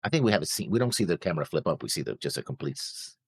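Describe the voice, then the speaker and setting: A man with a low voice that swings up and down in an animated way. It has a raspy edge and is recorded dry and close. He chats casually as a co-host, questioning and pushing back. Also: very fast, no room sound. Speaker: co-host of an anime reaction show. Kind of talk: casual discussion, debate.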